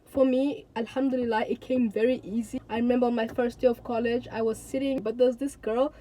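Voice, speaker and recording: A woman's voice: a little high, steady tone, fairly quick, dark tone, a little hiss or hum. A young woman of eighteen from Tanzania, vlogging to her viewers in an earnest, chatty way and repeating words for emphasis. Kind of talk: casual talk to camera.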